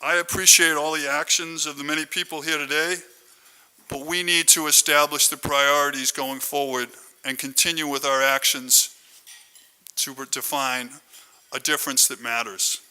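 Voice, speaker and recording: A man's voice: fairly high pitch, fairly even intonation, normal speed, bright, forceful tone, smooth, poor audio. A man hosting a conference, giving a formal, prepared introduction speech. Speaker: conference host introducing keynote speaker.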